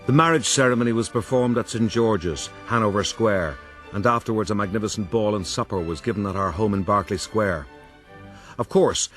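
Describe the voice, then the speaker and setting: A vain gentleman adventurer played by a man recounts his rise in a radio drama. His voice is fairly low and his delivery formal and pompous, with faint music behind him. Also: lightly acted. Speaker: narrator. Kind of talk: first-person radio drama narration.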